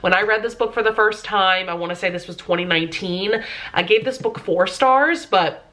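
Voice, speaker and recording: A woman's voice: mid-range voice, moderate pace, pushed and bright. A young woman with a stuffy, congested nose, chatting casually to the camera as a vlogger and filling her talk with "um" and "like". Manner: chatty, many fillers like "um" and "like".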